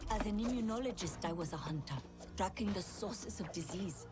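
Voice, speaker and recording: Woman acting a vengeful scientist-fighter, earnest, intense, mid-pitched, video game monologue, loud music underneath. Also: animated, normal speed, lightly acted. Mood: solemn determination. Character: vengeful, determined female Indian immunologist turned fighter. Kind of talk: scripted dramatic narration.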